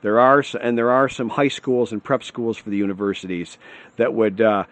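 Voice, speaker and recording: A man's voice: lowish voice, moderate pace, fairly bright tone. A middle-aged man from Michigan talking unscripted to camera, rambling and restarting his words often. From Michigan, USA. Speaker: vlogger on retiring abroad.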